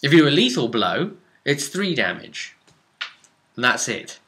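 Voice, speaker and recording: Bright, forceful British man telling game tales. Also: medium pitch, sing-song, measured pace. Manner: dramatic, exclamatory, with asides and rhetorical questions. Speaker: game master recapping a tabletop role-playing session. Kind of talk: animated informal storytelling to camera.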